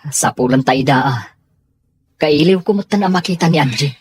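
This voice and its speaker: A man with an Ilocano accent acting a troubled relative in a radio drama, his voice slightly husky. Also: on the high side, brisk. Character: troubled cousin searching for loved ones.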